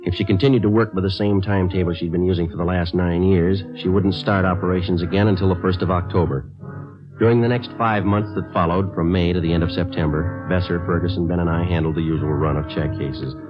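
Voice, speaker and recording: An actor playing a terse, businesslike American police detective narrating his case deadpan in a 1950s radio drama. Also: low-pitched, fast, lightly acted. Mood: clipped, factual. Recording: muffled old radio recording with music.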